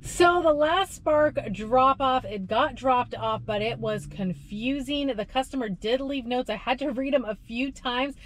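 A woman's voice: high-pitched, unhurried, hardly any pauses, slight background noise, poor audio. A woman in her thirties, a delivery driver, chatting casually to camera from inside her parked car, talking with her hands. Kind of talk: casual vlog narration. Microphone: camera on the dashboard.

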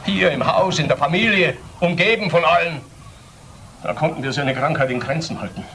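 An actor playing a bitter, defiant middle-aged father in a radio crime drama, speaking in emphatic broken phrases with pauses. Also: fairly high pitch, creaky, fairly bright tone, slight background noise. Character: bitter, defiant father of grown sons under suspicion.

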